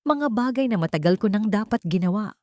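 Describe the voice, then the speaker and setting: A woman voicing a wronged young wife who is calm, cold and determined, speaking steadily in an audio drama. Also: mid-range voice, a little fast, dry recording, studio quality, pitched above the performer's own voice, natural voice. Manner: earnest, steady, unwavering.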